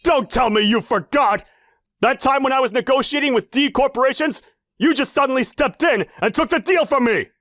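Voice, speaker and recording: A man's voice: very high-pitched, moderate pace, a bit bright, dry recording, clean studio sound, voice pushed up. A man voicing a sneering, jealous, hot-tempered rival salesman in a manga dub. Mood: furious. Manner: sneering, accusatory.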